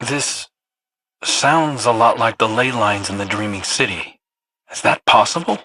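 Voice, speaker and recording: Raspy, bright-edged wary scout. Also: male voice, on the low side, lively intonation, a little slow, no room sound, heavily acted. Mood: curious, uncertain. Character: wary, curious male scout, troubled and observant. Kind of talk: scripted video game dialogue.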